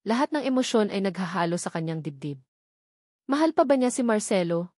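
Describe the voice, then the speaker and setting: A woman's voice: mid-range voice, darkish tone, no echo, clean studio sound. A woman with a Filipino accent reads a dramatic romance story as a scripted narration.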